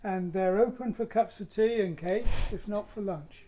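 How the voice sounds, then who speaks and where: Man, hoarse, mellow dark tone, soft-spoken, painting demo. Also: sits high, moderate pace. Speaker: artist demonstrating painting. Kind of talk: casual live painting demo with chat.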